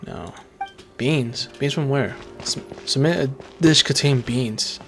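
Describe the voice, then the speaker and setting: A man's voice: medium pitch, measured pace, creaky. A man giving casual gameplay commentary, muttering and repeating words to himself. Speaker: game streamer.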